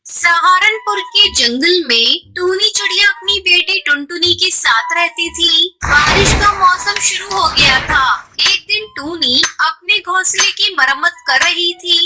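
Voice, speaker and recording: A woman's voice: very high-pitched, unhurried, heavy background noise. A woman narrating a scripted children's cartoon story.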